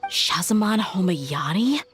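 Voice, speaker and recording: A woman playing a tough, shrewd young mercenary in a video game, speaking in a cool, measured, matter-of-fact way. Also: on the low side, animated, a bit bright, soft background music. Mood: analytical, puzzled. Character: tough, shrewd young female mercenary interrogator, guarded but conscientious. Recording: faint background noise.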